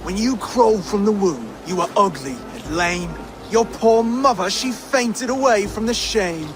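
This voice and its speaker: A man's voice: high voice, measured pace, noisy background. A nervous young Saxon would-be king. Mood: sparring. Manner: hesitant, flustered. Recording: game cutscene with loud ambience.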